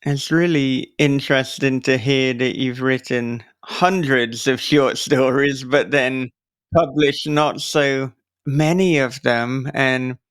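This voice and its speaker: A man's voice: medium pitch, fairly even intonation, slow, fairly bright tone, clean tone, dry recording, studio quality. A man hosting an interview, talking in an earnest, reflective way as he offers thoughtful advice. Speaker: podcast interviewer and host.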